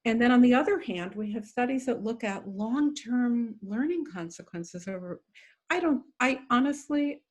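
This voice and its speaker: A woman's voice: on the high side, expressive, normal speed. An older woman lecturer answering audience questions over a video call, thoughtful and full of small hedges and dry asides. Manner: thoughtful, hedging, self-correcting with dry humor. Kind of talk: academic Q&A, conversational.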